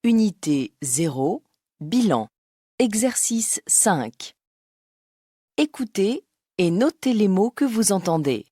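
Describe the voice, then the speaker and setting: A woman reading textbook exercise instructions in a slow, articulate way. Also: mid-range voice, very animated, mellow, slightly rough, no echo, clean studio sound. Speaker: narrator of exercises.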